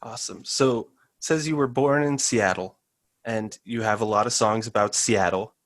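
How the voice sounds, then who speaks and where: A man hosting a podcast interview who asks halting, friendly questions and says he is a big fan. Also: lowish voice, dry recording. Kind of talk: casual interview.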